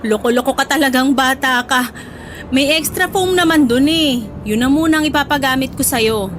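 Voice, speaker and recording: A woman's voice: sits high, moderate pace, a bit bright, slight background noise. A woman playing a middle-aged Filipina mother in a radio drama, affectionate yet exasperated, with a Filipino accent. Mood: teasing, resigned. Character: Filipina mother, fond, teasing, scolding, uneasy about home. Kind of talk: Tagalog radio drama acting.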